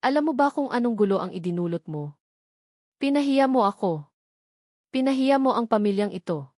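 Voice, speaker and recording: A woman's voice: a little high, lively intonation, normal speed, warm tone, dry recording, studio quality. Woman voicing a cold, domineering rich father. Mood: cold anger. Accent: Filipino. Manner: stern, commanding.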